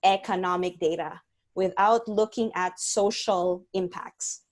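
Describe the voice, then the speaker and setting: A woman's voice: lowish voice, fairly even intonation, unhurried. Earnest woman explaining on a video call. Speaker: guest speaker, environmental scientist. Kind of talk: webinar talk and Q&A.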